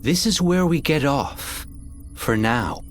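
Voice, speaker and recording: Lively-toned expedition leader. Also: female voice, low voice, takes it slowly, faint music behind. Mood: composed. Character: composed expedition leader taking charge of a crew. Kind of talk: video game cutscene acting.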